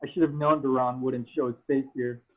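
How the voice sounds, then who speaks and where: A man's voice: medium pitch, fairly even intonation, fairly quick, natural voice. A man playing a scornful alien pod-race boss in a table read over a video call with thin sound. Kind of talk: table read of fan fiction script. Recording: thin phone-like call audio.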